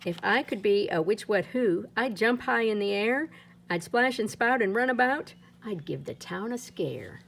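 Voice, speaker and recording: A woman reading a rhyming children's picture book aloud in a playful, lilting way, her pitch swinging up and down. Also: expressive, normal speed, creaky, fairly bright tone, a little hiss or hum. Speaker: storybook reader.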